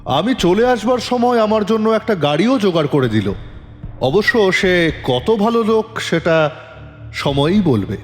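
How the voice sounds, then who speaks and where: A man playing a composed, probing police inspector who narrates his case in a dramatic, deliberate audio-drama style. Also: high voice, big pitch swings, slight background noise. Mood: suspenseful, measured. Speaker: narrator and lead actor in an audio story. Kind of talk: dramatic first-person detective story narration. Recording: long reverb, music underneath.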